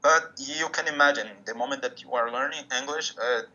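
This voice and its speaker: A man's voice: medium pitch, fairly quick, sharp tone. A man whose first language is Portuguese, a musician guest speaking English with frequent restarts, heard over a video call. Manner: hesitant, restarts often, searching for English words. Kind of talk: interview conversation. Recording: dull lo-fi sound.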